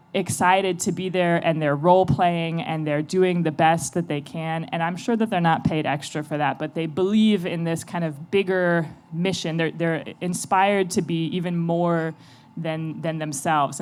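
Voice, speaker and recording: American woman on a panel, low voice, chatty and kinda self-deprecating. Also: darkish tone. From United States. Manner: chatty, self-deprecating, laughs between thoughts. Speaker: panelist, venture investor. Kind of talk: panel discussion.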